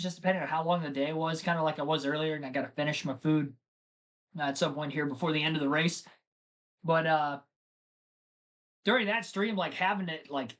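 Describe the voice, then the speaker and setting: A man's voice: a little high, fairly bright tone. Chatty man doing casual race commentary. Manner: chatty, rambling, talks to chat. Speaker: live stream race commentator.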